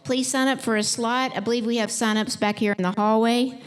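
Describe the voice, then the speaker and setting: Higher-pitched woman hosting and making announcements. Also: fairly even intonation, brisk, clean tone. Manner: conversational, unscripted. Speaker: event host. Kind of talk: informal event announcements.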